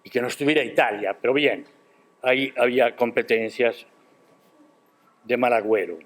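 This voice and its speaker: An older man giving a formal academic speech at a university ceremony, measured and emphatic, posing rhetorical questions. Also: mid-range voice, a bit bright. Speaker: guest speaker at a university ceremony. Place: university auditorium.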